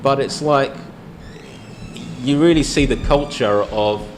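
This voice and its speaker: A man's voice: medium pitch, takes it slowly, noisy background. An Australian man in a casual discussion, rambling and hesitant, often restarting his sentences. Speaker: podcast co-host. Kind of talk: casual pub-style discussion.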